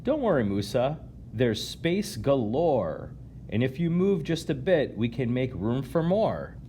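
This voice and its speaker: Man as encouraging storybook elder, easygoing, expressive intonation, slight background noise. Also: mid-range voice, unhurried. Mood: reassuring. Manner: reassuring, encouraging. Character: reassuring, easygoing elder relative of the boy.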